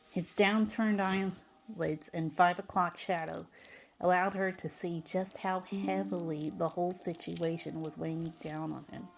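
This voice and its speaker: A woman's voice: on the low side, creaky. A woman narrating fan fiction dramatically, stumbling over some words as she reads.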